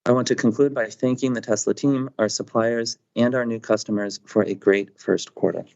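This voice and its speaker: A man reading prepared financial results on a company earnings call in a steady, businesslike way. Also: medium pitch, moderate pace, vocal fry. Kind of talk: read prepared financial remarks. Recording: dull lo-fi conference call audio.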